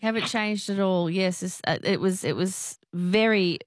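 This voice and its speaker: A woman's voice: medium pitch, measured pace, a crowd in the background. A middle-aged woman hosting a casual radio quiz, chatty and friendly, laughing often as she teases callers.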